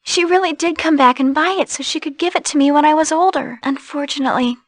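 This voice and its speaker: A woman voicing a gentle, wistful young woman in a video game, earnest and hesitant in her delivery. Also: high-pitched, fast talker, no echo, lightly acted. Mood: sad, tender.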